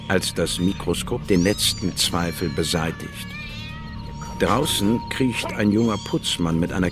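A man's voice: deep voice, heavily acted. An actor playing a scientist who soberly narrates his own account in a radio drama. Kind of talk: scripted first-person radio drama narration.

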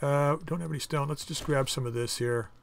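A man hosting a casual game playthrough, chatting and thinking aloud as he crafts items, with his voice going a bit hoarse. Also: a little high, fairly even intonation, a little fast, slight background noise. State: losing his voice. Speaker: gaming channel host. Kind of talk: casual let's-play commentary.

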